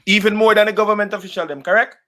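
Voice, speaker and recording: A man's voice: sits high, steady tone, racing, bright, forceful tone. A man with a Jamaican accent, a panel guest giving an impassioned call to action full of rhetorical questions.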